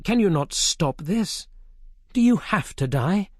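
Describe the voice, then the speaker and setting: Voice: medium pitch, animated, slow pace, no echo, clean studio sound, pitched above the performer's own voice. A narrator doing an earnest young king. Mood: pleading. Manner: earnest, pleading questions. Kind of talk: audiobook character voice.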